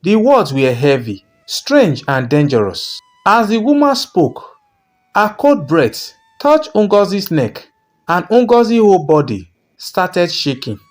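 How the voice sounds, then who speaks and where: A narrator telling a scripted folktale in a dramatic storytelling style. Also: medium pitch.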